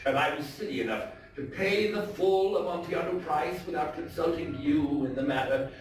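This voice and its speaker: Male actor as falsely solicitous, vengeful gentleman, theatrical and coaxing; high-pitched, heard from a distance in echo. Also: normal speed, natural voice. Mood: feigned warmth. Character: smooth-talking vengeful Italian nobleman feigning friendship. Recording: echoey theatre, far from mic, faint noise.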